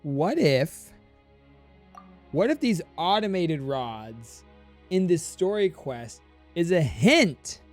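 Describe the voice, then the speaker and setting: A woman with a low voice commentates casually on a game. She is playful and slangy, talking to her chat as a streamer. Faint game music runs underneath. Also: dry recording. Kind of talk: casual live gameplay commentary.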